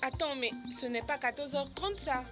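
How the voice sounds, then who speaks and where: A woman's voice: high voice, normal speed, music in the background, lightly acted. A woman voicing an eager young animal pupil in an educational cartoon, childlike, earnest and keen to learn.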